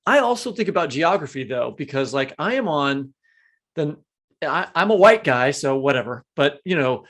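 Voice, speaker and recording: Higher-voiced man, halting podcast co-host. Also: expressive, fairly bright tone. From American Midwest. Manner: halting, rambling, thinking aloud. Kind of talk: casual conversational interviewing.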